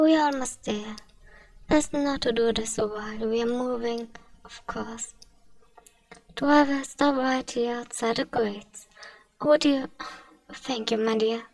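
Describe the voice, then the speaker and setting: A woman playing a kind, doting vampire mistress in an ASMR roleplay, speaking softly with genteel endearments and often slipping into whispers. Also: a little high, creaky, no echo, distant mic, rough recording. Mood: pleased, reassuring. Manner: soothing, coaxing, genteel endearments.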